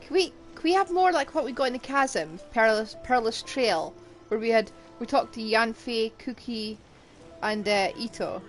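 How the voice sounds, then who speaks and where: A woman's voice: fairly high pitch, moderate pace, soft background music. A woman game streamer giving casual live commentary as she plays.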